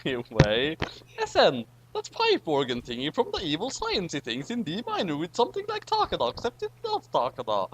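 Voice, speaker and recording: A man's voice: fairly high pitch, huge pitch swings, fairly quick, a little hiss or hum, low-quality recording. A man reading a story aloud theatrically and chatting casually with friends. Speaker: co-host reading fan fiction aloud.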